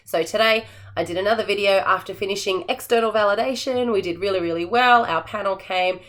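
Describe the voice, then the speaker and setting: An Australian woman, an assistant principal, sharing teaching tips in a chatty, practical way, her voice croaky and tired. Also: medium pitch, brisk, a bit bright. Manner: chatty, practical, with frequent fillers. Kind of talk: teaching tips video, casual explanation. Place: classroom.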